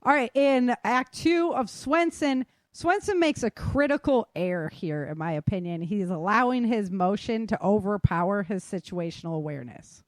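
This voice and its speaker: A woman's voice: mid-range voice, moderate pace, hardly any pauses, dry recording. A woman with an American accent hosting a casual podcast discussion, explaining her points and often checking in with "right?" and "you know". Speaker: podcast host and author.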